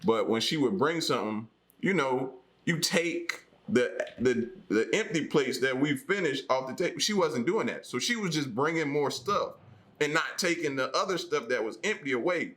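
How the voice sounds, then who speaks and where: A man's voice: medium pitch, lively intonation, normal speed, a bit bright. An American man co-hosting a podcast, chatting casually and telling stories in a slangy, joking way. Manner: colloquial, slangy, joking storytelling. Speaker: podcast co-host. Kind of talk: casual conversational chat.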